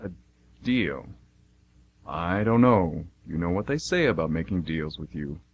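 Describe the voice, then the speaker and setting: A man playing a very deep-voiced, smug God. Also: very animated, unhurried, highs cut off, exaggerated voice. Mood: amused, skeptical. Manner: breezy, self-satisfied, punning. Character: God, smug, jovial, teasing male deity at lunch. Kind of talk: amateur comedic radio play acting. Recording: noisy amateur radio recording with hum.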